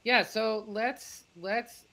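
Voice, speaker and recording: A woman's voice: fairly high pitch, very slow, vocal fry, fairly bright tone. A middle-aged woman hosting a casual live stream in an informal, effusive way. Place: indoor room, video call.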